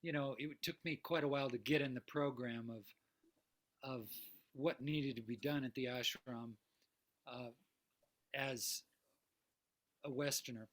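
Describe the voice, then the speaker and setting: A man speaking unhurriedly on a video call, with many pauses and false starts as he recalls earlier years. His voice is of middle pitch and his delivery measured. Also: fairly even intonation, fairly bright tone. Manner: slow, hesitant, with many restarts. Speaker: satsang participant. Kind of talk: personal recollection on a video call.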